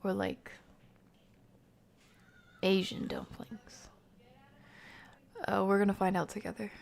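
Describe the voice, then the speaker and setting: A woman's voice: medium pitch, flat delivery, takes it slowly, creaky, darkish tone. A woman hosting a livestream, chatting casually as she reacts to videos and reads out comments. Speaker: livestream host.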